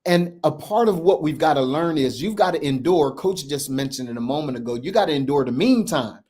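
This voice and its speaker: A man in his fifties lectures with earnest conviction, circling back and repeating his points for emphasis. His voice sits a touch high for a man, and his speech carries a lilt. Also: lively intonation, fairly quick, a little mellow, husky. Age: 50s. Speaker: podcast co-host. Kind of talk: casual conversation on money.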